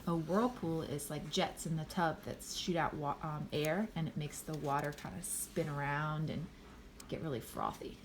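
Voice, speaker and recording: A woman reading a children's storybook aloud expressively, pausing to speak to a young listener. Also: sits low, a little hiss or hum. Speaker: storybook reader.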